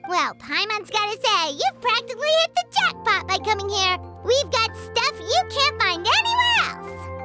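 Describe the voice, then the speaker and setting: Game casting: tiny chirpy fairy companion, extremely high, perky, childlike, very animated. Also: female voice, moderate pace, loud music behind the voice. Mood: perky, curious. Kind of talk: video game cutscene acting.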